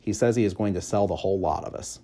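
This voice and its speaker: A man reading a children's story aloud in expressive, measured, clearly phrased narration. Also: low voice. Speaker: audiobook reader, school staff member.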